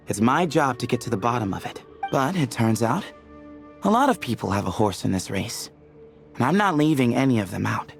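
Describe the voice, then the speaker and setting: A playful, teasing young temple leader from a video game. He talks at a middle pitch with lively swings and laughs easily. He treats even grim matters lightly. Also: gravelly.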